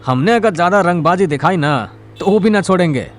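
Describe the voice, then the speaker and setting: A man's voice: sits high, expressive, fairly quick. A man playing a young sidekick in an audio drama, deferential and cautious as he advises his leader. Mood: cautious, concerned. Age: young adult. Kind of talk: Hindi audio drama acting. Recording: vehicle noise behind the voice.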